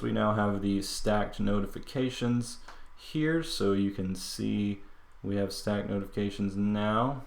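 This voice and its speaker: A man's voice: fairly low pitch, vocal fry. A man hosting a casual tech walkthrough, explaining features in an informal way. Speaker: tech video host. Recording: echoey, reverberant room.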